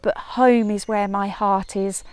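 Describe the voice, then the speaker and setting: Woman as eager little country mouse, unhurried. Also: mid-range voice, fairly even intonation, darkish tone, clear, resonant tone, natural voice. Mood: contented. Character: small male country mouse, eager and homely.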